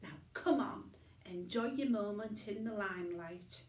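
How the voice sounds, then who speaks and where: A woman voicing a gentle, encouraging father in a storybook, with a reassuring manner. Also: mid-range voice, measured pace, slight background noise, close to an everyday voice. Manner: soft, reassuring. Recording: echoey room.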